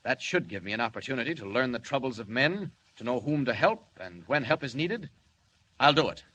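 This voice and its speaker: Superhero, bright forceful voice, sweeping intonation, grave, formal, vintage 1940s radio drama. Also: male voice, medium pitch, big pitch swings, fairly quick, slight background noise, poor audio. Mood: grave, earnest. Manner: formal, declamatory. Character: noble alien superhero, grave, earnest, formal young man.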